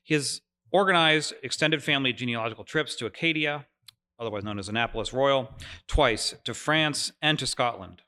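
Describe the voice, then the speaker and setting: A man with a bright, clear-edged voice opens an evening lecture at a museum. He runs through housekeeping and introductions in a friendly, informal way, with frequent filler words. He speaks into a mic in a lecture room. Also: medium pitch, brisk. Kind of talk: public event introduction. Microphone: mic over room speakers.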